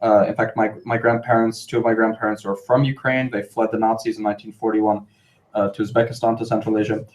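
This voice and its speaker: A man from New York speaking informally on a panel, halting and digressive, often repeating his words as he goes. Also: on the low side, flat delivery, darkish tone, rough recording.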